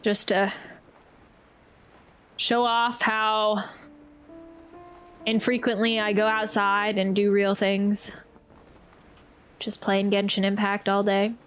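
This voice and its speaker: A woman's voice: medium pitch, completely flat delivery, takes it slowly, pauses often, a bit bright, clear, resonant tone, soft background music. A woman streaming a game with casual commentary, chatty and jokey in manner. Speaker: game streamer reacting to a playthrough.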